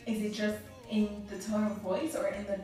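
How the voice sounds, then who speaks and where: A woman's voice: mid-range voice, level tone, moderate pace, warm tone. A woman interviewer asking casual, curious questions. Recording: echoey room from far off, faint music.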